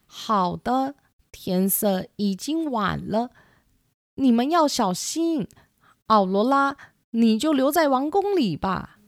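Mum voice playing sorry queen in a kids story, kinda high, slow, blaming herself, a woman full of regret. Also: expressive, no echo, studio quality. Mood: subdued. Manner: apologetic, self-reproaching. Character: regretful jealous queen and anxious mother. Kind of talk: children's storybook radio play.

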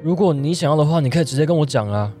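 Guy acting a young taiwanese boyfriend, flustered n sulky but sweet, audio drama w soft music under. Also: on the high side, expressive, rapid-fire, roomy sound, close to an everyday voice. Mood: exasperated, insistent. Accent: Taiwanese Mandarin.

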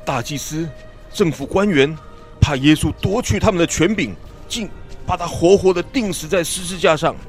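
An actor playing a talkative, weary village traveler in a radio drama, speaking in an emphatic, sighing, storytelling way. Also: male voice, a little high, normal speed, faint music behind, close to an everyday voice. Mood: earnest.

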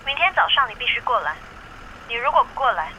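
Casting: stern young sister in radio drama, bright forceful highish voice, accusing, noisy phone-scene recording. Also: female voice, big pitch swings, fast, muffled recording, full character voice. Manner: sharp, interrogating. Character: stern, suspicious young woman, bossy busy sister. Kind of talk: modern romance radio drama acting.

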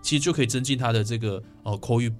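A man with a Taiwanese Mandarin accent hosts a studio interview over soft music. His pitch is mid-range, and he often sums up and seeks agreement with short tag questions. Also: fast talker. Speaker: programme host.

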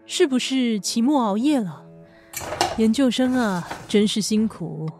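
A woman's voice: on the high side, animated, measured pace, music in the background. An actress playing a kindly, chatty female cake shop manager in an audio drama, with a Taiwanese accent. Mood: kindly, curious.

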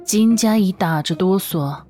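一位女性有声小说旁白，照着剧本富有表情地讲述故事。. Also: female voice, medium pitch, a little slow, mellow. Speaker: audiobook narrator. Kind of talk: expressive scripted story narration. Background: background music.

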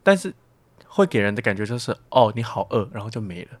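A young man with a fairly low voice chats as a guest on a radio interview. He explains himself reflectively, doubling back on words, in a dry studio recording. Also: sing-song, quick pace, dry recording. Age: young adult. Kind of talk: casual radio interview chat.

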